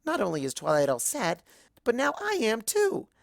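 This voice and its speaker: Woman acting bubbly cartoon party pony, earnest, childlike rambling, lively swooping pitch, close dry sound. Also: normal speed, creaky, dry recording, heavily acted. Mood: upset, guilty. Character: bubbly, scatterbrained, excitable young female cartoon party pony. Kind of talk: first-person fan fiction narration in character.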